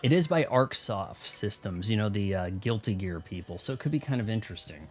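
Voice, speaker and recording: A man's voice: fairly low pitch, animated, brisk, faint music behind. An American man hosting a video game livestream, talking casually in a rambling, conversational way. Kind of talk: casual gaming stream chat and commentary.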